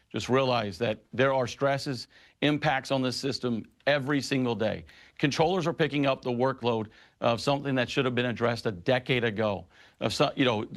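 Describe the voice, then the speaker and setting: A middle-aged man speaking as a union president in a news interview, making his case with firm, emphatic conviction. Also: mid-range voice. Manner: impassioned, emphatic advocacy.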